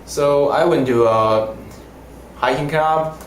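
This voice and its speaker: A young man whose first language is Mandarin, answering interview questions in halting, simple phrasing. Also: fairly high pitch, a little hiss or hum. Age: young adult. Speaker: language student. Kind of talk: casual interview. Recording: roomy echo.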